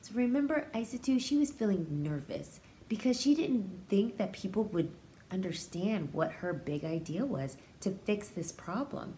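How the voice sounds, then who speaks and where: Expressive woman reading aloud to young children. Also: mid-range voice, slight background noise. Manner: expressive, explains words and feelings simply to young children. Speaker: pre-K teacher reading aloud. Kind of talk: children's picture-book read-aloud with explanatory asides.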